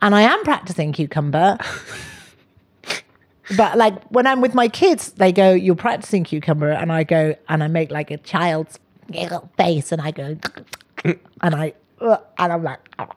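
A middle-aged woman, a comedian guest chatting casually in a playful, animated, self-mocking way. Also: on the low side, normal speed. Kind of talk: casual comic interview chat.

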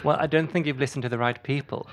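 A man's voice: mid-range voice, fairly even intonation, quick pace. A man speaking as a comedian guest in a casual interview, playfully earnest and tongue-in-cheek.

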